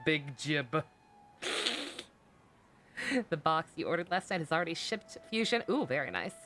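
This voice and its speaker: Bright-voiced sarcastic woman streaming a game. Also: low-pitched, lively intonation, a little slow, soft background music. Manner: sarcastic, irreverent, swears freely. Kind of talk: casual live-stream commentary.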